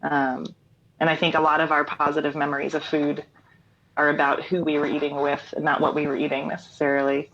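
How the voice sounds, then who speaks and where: A woman in her thirties with a low voice talks casually as an interview guest. She rambles warmly through fillers and laughs. The audio is dull and lo-fi, like a remote call. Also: completely flat delivery, brisk, slight background noise. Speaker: interview guest, singer-songwriter.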